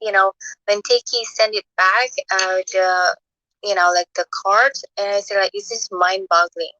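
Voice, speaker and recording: A woman's voice: medium pitch, steady tone, moderate pace, sharp tone, no room sound, highs cut off. Friendly woman with an Indonesian accent. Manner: friendly, searching for words. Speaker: real estate agent. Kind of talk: casual chat.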